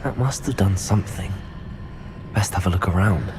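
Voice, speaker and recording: Actor as a composed, low-voiced student wizard. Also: male voice, moderate pace, noisy background, natural voice. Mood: musing, curious. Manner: polite, composed, musing to himself. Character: polite, determined young male student wizard. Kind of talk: scripted video game voice acting. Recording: loud music behind the voice. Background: game music.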